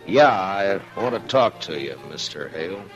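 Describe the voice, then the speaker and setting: An actor playing a stern, steady frontier marshal who speaks in a terse, calm and firm way, in 1950s radio drama style. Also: male voice, deep voice, normal speed, lo-fi sound, soft background music.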